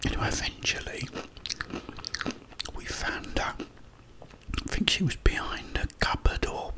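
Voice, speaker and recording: A man speaking in a breathy whisper, chatting and telling casual stories in a halting way as an ASMR host while eating. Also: pushed and bright, rough recording. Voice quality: breathy, mostly unvoiced whisper.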